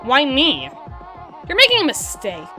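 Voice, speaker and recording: A woman plays a young drider girl working the door of a club, in an audio roleplay. Her voice sits fairly high and swings about expressively. She is guarded and dryly sarcastic, brusque and given to exasperated sighs, with music playing some way off behind her. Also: wildly swooping pitch, unhurried, sharp tone, full character voice. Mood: defensive, dismissive. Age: 20s. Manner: wry, brusque, theatrical delivery with exasperated sighs. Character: gruff, sarcastic, guarded 25-year-old drider girl bouncer. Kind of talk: ASMR roleplay audio drama.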